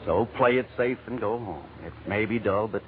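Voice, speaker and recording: An actor playing a smooth-talking, secretly dangerous gambler in a 1940s radio drama, with slangy, clipped, slick delivery. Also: male voice, on the low side, moderate pace, close to an everyday voice. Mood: coaxing. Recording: tinny, noisy vintage radio recording.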